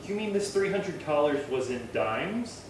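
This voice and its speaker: A man's voice: a little high, very animated, unhurried. A man acting a polite, curious police detective in a 1950s-style radio crime drama, questioning plainly and deferentially. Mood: polite, inquiring. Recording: very echoey, distant, noisy room.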